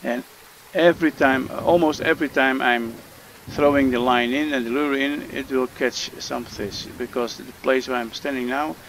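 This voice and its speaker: A man's voice: medium pitch, fairly even intonation, moderate pace, few pauses, a bit bright, slight background noise, rough recording. A man from the Netherlands with a Dutch accent, talking through a fishing game tutorial with many hesitations and fillers. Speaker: gamer making a tutorial. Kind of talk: casual gameplay tutorial commentary.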